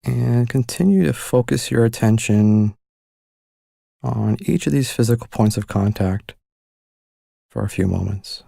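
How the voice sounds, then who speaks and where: A man leading a guided meditation, giving calm, gentle instructions with unhurried pauses. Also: medium pitch, vocal fry, no room sound, clean studio sound.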